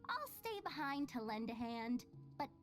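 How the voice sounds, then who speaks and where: A bubbly young game sidekick with a high voice, devoted and eager. She gushes perkily, with faint music underneath. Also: big pitch swings, normal speed. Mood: upbeat. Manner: gushing, perky. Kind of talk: video game character acting.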